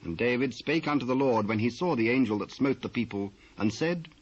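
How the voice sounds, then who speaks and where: A man with a British accent narrating dramatised scripture in a measured, formal manner. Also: mid-range voice, animated. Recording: muffled old cassette transfer.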